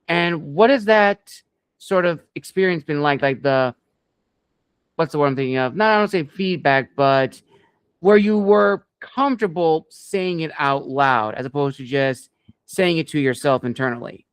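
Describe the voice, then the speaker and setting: A man hosting an interview, framing his questions in a polite, deliberate and wordy way. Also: on the high side, clear, resonant tone, dry recording. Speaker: interviewer, show host.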